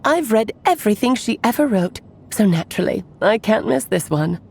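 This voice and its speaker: A woman's voice: medium pitch, lively intonation, normal speed, gravelly, darkish tone, slightly rough. A woman playing an elegant, playful adult witch who speaks in an airy, teasing, coy way in a video game cutscene. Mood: playful, breezy. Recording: faint music behind the voice.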